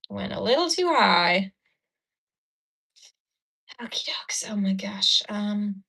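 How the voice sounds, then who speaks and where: A woman's voice: mid-range voice, creaky, fairly bright tone. A woman hosting a casual livestream, chatting with viewers and laughing while she does her makeup, recorded on a phone. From Ukraine. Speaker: livestream host. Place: indoors at a mirror.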